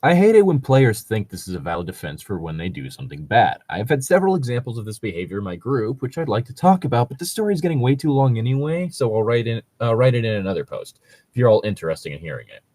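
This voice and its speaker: A man's voice: mid-range voice, sing-song, fast, mellow, no echo. A man chatting casually and at length about tabletop role-playing, rambling and swearing often as a co-host. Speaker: co-host reading and discussing stories.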